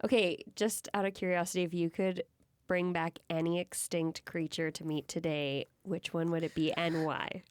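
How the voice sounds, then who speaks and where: A woman's voice: on the low side, flat delivery, moderate pace, smooth, no echo. A woman hosting a casual broadcast interview, asking questions in a chatty, upbeat way. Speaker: interviewer, news host.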